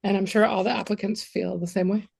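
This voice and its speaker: A woman's voice: medium pitch, steady tone, fast talker, vocal fry, darkish tone, slightly rough. A woman at a commission meeting earnestly thanking the committee that reviewed grant applications. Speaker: commission member. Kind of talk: meeting remarks.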